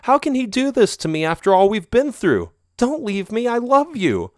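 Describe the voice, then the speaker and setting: A man's voice: on the high side, big pitch swings, normal speed, no echo, studio quality, voice pushed up. A man voicing an emotional, lovesick young heroine in a read-aloud romance story. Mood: panicked.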